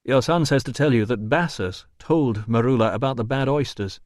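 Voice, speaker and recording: A man's voice: medium pitch, normal speed, no room sound, clean studio sound, close to an everyday voice. A male narrator voicing an earnest, firm man in an audiobook story's dialogue. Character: earnest, firm man trying to calm and explain.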